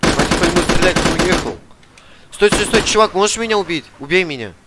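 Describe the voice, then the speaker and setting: A man's voice: high-pitched, expressive, a little fast. A young man streaming an online roleplay game, arguing in character with a pushy, demanding, mock-official manner. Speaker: gamer streamer playing a detainee in an online roleplay server. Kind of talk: in-character roleplay chat over voice chat. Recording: game audio and noise behind the voice. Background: clear noise and game car sounds.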